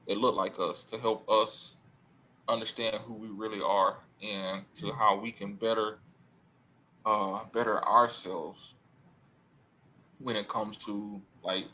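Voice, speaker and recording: Earnest rambling man, bright tone. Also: on the low side, a little hiss or hum, rough recording. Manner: rambling, self-correcting, earnest. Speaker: podcast guest. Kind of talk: casual podcast chat.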